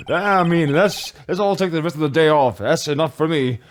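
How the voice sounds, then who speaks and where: Animated, giggly man doing comic readings. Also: a little high, measured pace, a little hiss or hum. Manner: giggly, profane. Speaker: amateur voice-over reader. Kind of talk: comic, improvised dramatic reading with asides.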